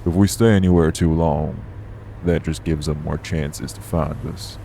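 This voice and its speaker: A man playing a steady, guarded, streetwise vampire traveler in an audio roleplay, matter-of-fact with folksy clipped word endings. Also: very deep voice, normal speed, creaky, a little hiss or hum. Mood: calm, wary.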